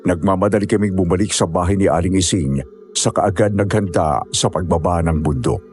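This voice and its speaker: A very low-pitched storyteller recounts a mountain ordeal in a horror audio drama, played by a man. The narration is scripted, with music softly underneath. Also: fairly quick, natural voice. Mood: somber recounting.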